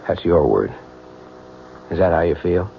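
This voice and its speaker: A man playing an earnest, conciliatory husband in an old-time radio drama, speaking a little haltingly. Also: deep voice, creaky, exaggerated voice. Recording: muffled, hissy vintage radio recording.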